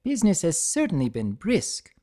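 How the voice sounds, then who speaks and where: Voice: mid-range voice, wildly swooping pitch, moderate pace, warm tone, pitched above the performer's own voice. A narrator voicing a prim, refined and proud unicorn lady with a formal, proper manner for a fan story reading. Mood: breezy. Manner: proper, formal, easily flustered.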